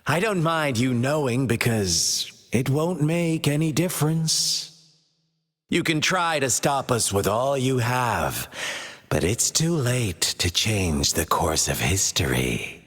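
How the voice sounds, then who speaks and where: An actor playing a mocking young male villain in a game cutscene, theatrical and sly, teasing with drawn-out lines and sudden whispers. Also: medium pitch, lively intonation, a bit bright, in a large hall. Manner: playful taunting, teasing drawn-out delivery with whispers. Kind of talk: video game cutscene voice acting.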